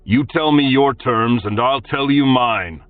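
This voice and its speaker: A man's voice: mid-range voice, a little slow, dry recording, recorded from a distance, narrow, tinny audio, faint music behind, voice pushed up, natural voice. A man voicing a defiant young swordsman who cheerfully taunts his enemy.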